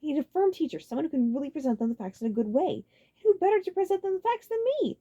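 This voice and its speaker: Woman acting as smug young man in an audiobook, boastful, breezy, slightly dim, very lively pitch. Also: fast talker, dull audio.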